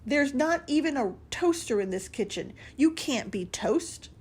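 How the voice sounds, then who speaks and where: A woman's voice: high-pitched, animated, unhurried, pitched above the performer's own voice. A woman voicing a blunt, matter-of-fact salt shaker who scoffs and giggles. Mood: scoffing.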